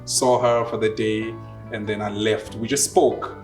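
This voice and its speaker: A man's voice: lowish voice, little pitch movement, a little slow, darkish tone. Young Southern African man over guitar music. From Zimbabwe and South Africa. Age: 20s. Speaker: interviewee telling his relationship story. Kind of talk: casual storytelling.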